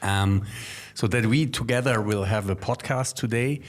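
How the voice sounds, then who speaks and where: A man's voice: on the low side, moderate pace, soft background music. German-accented male podcast host. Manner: hesitant, many ums and restarts. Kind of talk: casual conversational interview.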